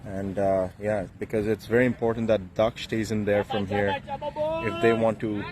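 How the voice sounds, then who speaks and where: A man from Bangladesh with a Bangladeshi English accent, giving relaxed, halting cricket commentary full of fillers outdoors by the field. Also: fairly low pitch, a loud crowd. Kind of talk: casual live cricket commentary.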